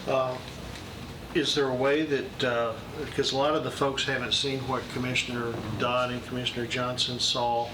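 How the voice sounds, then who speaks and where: Plainspoken man in a roomy hall. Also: medium pitch. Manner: plainspoken, halting. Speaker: county official. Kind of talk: committee meeting discussion. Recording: roomy hall, distant mic, steady noise.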